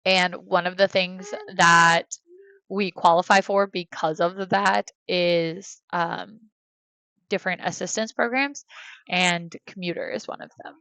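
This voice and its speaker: A woman's voice: fairly low pitch, a bit bright, no room sound, low-quality recording. An American woman in her thirties, chatting casually while she streams a life-sim game from home, rambling with many fillers. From United States. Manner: rambling, hesitant, many fillers, talks to her kids between points. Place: home room.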